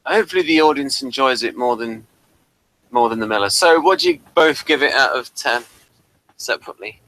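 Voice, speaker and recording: A man hosting a casual review chat, speaking haltingly and often repeating his phrases. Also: medium pitch, expressive, sharp tone, rough recording. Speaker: host of review discussion.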